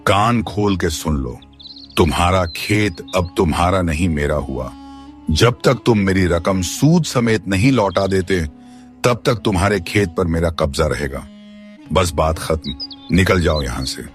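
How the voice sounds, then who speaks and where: A man's voice: sits low, animated, a little slow, faint music behind. A man voicing a greedy, bullying village landlord in a cartoon story, sneering, blunt and domineering. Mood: menacing, gloating. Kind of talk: Hindi cartoon moral-story voice acting.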